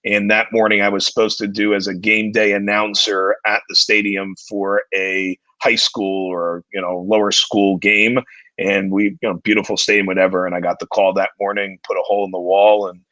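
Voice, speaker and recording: A man in his forties with a deep, distinguished voice, talking as a guest in a casual interview and often repeating words as he starts his sentences. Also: little pitch movement, moderate pace, pushed and bright, dry recording. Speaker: guest, entertainment company CEO. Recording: dull lo-fi dry sound.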